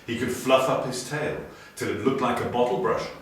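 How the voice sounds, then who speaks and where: A man reading a children's story aloud with theatrical expression and clear enunciation. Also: mid-range voice, normal speed. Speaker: storybook reader. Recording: very echoey room, distant mic.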